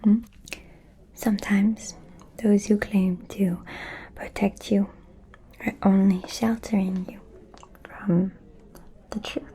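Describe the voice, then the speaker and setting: A woman playing a scheming, haughty vampire noblewoman in an ASMR roleplay, speaking in a breathy whisper with a deliberate, insinuating manner. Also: medium pitch, level tone, warm tone. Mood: imperious, knowing.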